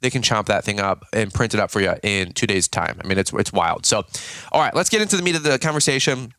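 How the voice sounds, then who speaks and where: A man hosting his own podcast in a casual, chatty way, a bit raspy and tired, with many fillers and restarts. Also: lowish voice, lively intonation, fast talker, pushed and bright, no room sound, studio quality. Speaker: podcast host. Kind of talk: casual solo podcast talk.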